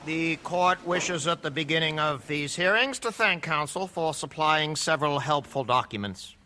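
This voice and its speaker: A stern skeptical judge, bright forceful voice a bit high, formal courtroom radio play. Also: male voice, moderate pace, a little hiss or hum. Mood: formal, measured. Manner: formal, pointed, probing. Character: stern, skeptical male judge presiding over a hearing.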